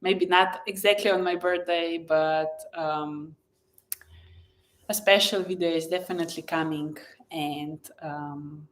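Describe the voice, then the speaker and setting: A woman who is a native Slovenian speaker, hosting a casual live chat in a gentle, encouraging and effusive way, often pausing mid-thought. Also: low-pitched, fairly even intonation, slight background noise. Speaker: live stream host and blogger.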